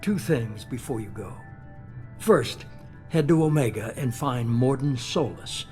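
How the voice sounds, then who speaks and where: Video game shadowy boss, male actor: middle-aged, calculating, authoritative, measured delivery, music underneath. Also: mid-range voice, animated, darkish tone, close to an everyday voice. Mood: cool, commanding.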